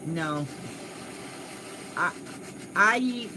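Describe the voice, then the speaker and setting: A woman's voice: on the low side, lively intonation, extremely slow, noisy background. An older woman vlogging in a casual, rambling and goofy way, chatting to viewers. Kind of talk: casual livestream chat.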